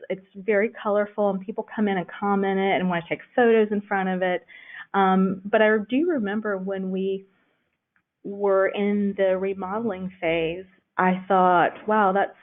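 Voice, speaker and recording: Woman lecturing over a tinny line. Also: mid-range voice. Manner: conversational, frequent "um" fillers. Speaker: children's librarian giving a lecture. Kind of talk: recorded lecture with slides. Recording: tinny phone-like recording.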